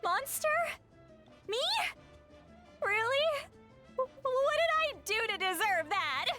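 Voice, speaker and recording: A proud, drunken shaman woman from an anime game. Her high voice swings widely as she talks slowly and dramatically, with a prickly edge, over music. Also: very animated, sharp tone, heavily acted. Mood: drunken indignation. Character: ancient but youthful-sounding tipsy shaman woman, proud and prickly. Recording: music behind the voice.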